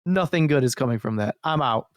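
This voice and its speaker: A man's voice: medium pitch, animated, fairly quick, no echo. Blunt, sweary man in a dry room. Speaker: podcast co-host. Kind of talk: casual joking chat about horror videos.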